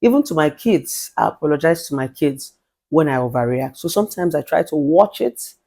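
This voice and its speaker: Nigerian relationship therapist, middle-aged woman, authoritative lower voice, preachy motivational delivery. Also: animated, moderate pace, gravelly. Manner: forceful, emphatic, mixes in Pidgin phrases. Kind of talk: motivational advice monologue.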